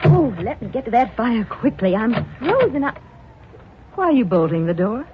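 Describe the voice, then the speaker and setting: A woman's voice: a little high, very animated, moderate pace, background noise. An actress playing a polite society woman in an old radio drama, talking in a lilting way. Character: genteel society woman, ex-wife posing. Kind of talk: 1940s radio acting. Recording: dull lo-fi old radio recording.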